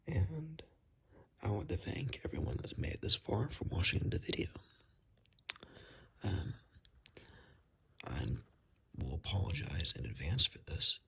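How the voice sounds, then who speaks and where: Low, very raspy whispering man. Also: huge pitch swings, a little slow, long pauses, fairly bright tone, low-quality recording. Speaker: ASMR channel creator. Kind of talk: ASMR, casual whispered chat to viewers. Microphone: phone, no external microphone. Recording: iPhone built-in microphone.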